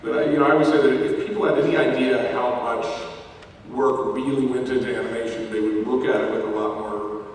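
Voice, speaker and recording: A man's voice: medium pitch, fairly even intonation, brisk, far from the mic. A man interviewed as a voice actor, speaking casually and reflectively. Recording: film playback in echoey hall, noisy.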